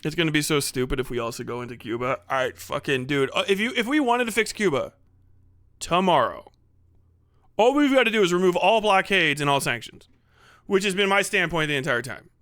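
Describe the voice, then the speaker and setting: Sarcastic guy streamer, swears a lot, bright crisp voice. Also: on the high side, expressive, brisk. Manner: profane, sarcastic, laughing. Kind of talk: casual live stream rant.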